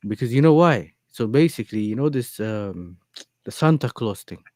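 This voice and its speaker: A man's voice: mid-range voice, lively intonation, normal speed, a little mellow, no room sound. A man who grew up in the Netherlands, telling a story in casual chat and checking in with yeah and innit. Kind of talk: casual chat on a live stream.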